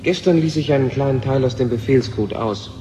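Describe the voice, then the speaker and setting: A man's voice: medium pitch, brisk, mellow, highs cut off, lightly acted. An actor playing a brooding, intense male physicist in a German radio drama from 1970. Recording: echoey hall, noisy old radio recording.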